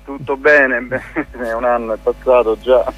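A man, explaining an event programme in an informal radio interview, often searching for words in a halting way. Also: medium pitch, steady tone, muffled recording. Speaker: interview guest, photography teacher and event organiser.

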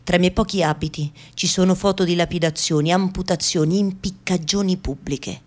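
A woman acting a fearful yet defiant Afghan woman in a radio theatre monologue, speaking in deliberate, repetitive short phrases. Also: lowish voice, fairly even intonation, a little mellow, close to an everyday voice. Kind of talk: dramatic first-person monologue, radio theatre.

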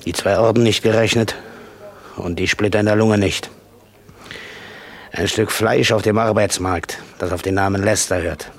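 A man's voice: fairly low pitch, fairly even intonation, moderate pace, long pauses. An actor voicing a brooding, taunting inner voice of a weary hitman in a radio drama, sardonic and insistent. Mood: sardonic, bitter. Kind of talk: dramatic monologue in radio play.

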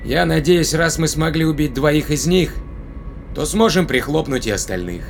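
An actor playing a grimly determined spaceship captain in a science fiction audio drama, blunt, terse and commanding. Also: male voice, fairly high pitch, lively intonation, normal speed, vocal fry, slight background noise.

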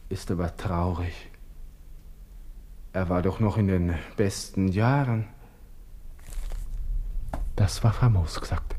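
An actor playing a vain, jittery young Austrian army lieutenant in a radio drama monologue, muttering excitedly to himself in a husky voice. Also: male voice, low voice, slow pace, long pauses. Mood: secretly elated.

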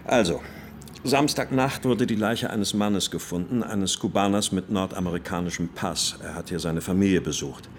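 An actor playing a polite, measured police colonel, a persuasive new boss in a radio crime drama. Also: male voice, sits low, a little hiss or hum, lightly acted. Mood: matter-of-fact. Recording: music behind the voice.

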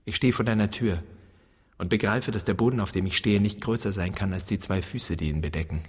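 Husky, deep, bitter son. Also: male voice, a little fast. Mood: grim, intense. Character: bitter grown son accusing his absent father. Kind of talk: literary radio drama monologue. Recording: long reverberant hall.

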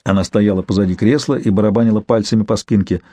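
Mellow male audiobook narrator. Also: on the low side, steady tone, fast talker, no echo. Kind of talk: scripted literary narration.

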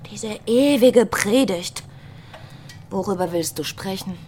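A woman's voice: medium pitch, slow, darkish tone, a little hiss or hum, natural voice. An actress playing a pastor's affectionate, jealous, needy wife in a radio play, coaxing and probing as she talks. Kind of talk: naturalistic German radio play acting. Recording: music behind the voice.

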